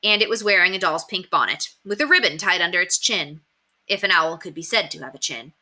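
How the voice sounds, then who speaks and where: A woman reads a children's novel aloud with an animated delivery. Her tone is strikingly bright and her pitch moves freely, giving the narration an emphatic storytelling shape. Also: fairly quick. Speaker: story reader.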